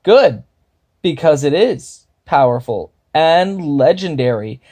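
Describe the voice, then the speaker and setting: A man's voice: expressive, slow pace, dark tone. Man doing a flustered young hero. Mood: blustering. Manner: stammering, flustered, higher-pitched. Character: nervous young male would-be hero.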